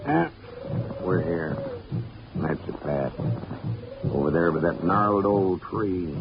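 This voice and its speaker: An actor playing a folksy, drawling, wary boat captain and guide in a 1940s radio drama. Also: male voice, sits low, slow pace. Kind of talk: 1940s radio character acting. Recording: muffled, very noisy 1940s radio recording.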